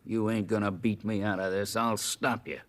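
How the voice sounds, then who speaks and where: An actor playing a defiant, cornered cattle rustler who blusters in an old-time radio Western. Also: male voice, lowish voice, little pitch movement, a bit bright, natural voice. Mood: threatening. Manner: blustering, drawn-out threats.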